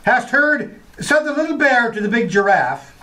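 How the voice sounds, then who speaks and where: An actor playing a grandiose, florid poet who declaims doggerel theatrically in an old-time radio comedy. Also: male voice, very high voice, sing-song, moderate pace, sharp tone, heavily acted. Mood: grandiose, eager.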